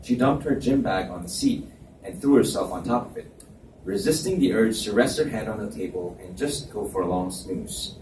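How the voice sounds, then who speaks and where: Mellow-voiced man narrating in echoey room. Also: fairly low pitch, moderate pace. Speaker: reader, narrator. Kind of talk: scripted story narration read live. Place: live reading in an echoey indoor room. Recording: echoey room, distant mic, background noise.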